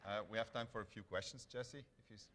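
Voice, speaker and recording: A man's voice: fairly low pitch, steady tone, fast talker. A man speaking as a game developer at a live demo and Q&A, informal and hesitant, thinking aloud as he goes. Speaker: game developer presenting on stage. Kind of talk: live demo presentation and audience Q&A. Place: large convention stage with audience. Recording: noisy convention hall PA sound.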